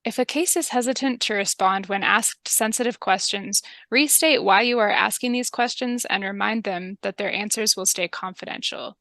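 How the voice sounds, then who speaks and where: A woman with a calm, polite and methodical manner, giving instructions in a scripted public health training video. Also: medium pitch, moderate pace, few pauses, dry recording. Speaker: public health interviewer and narrator.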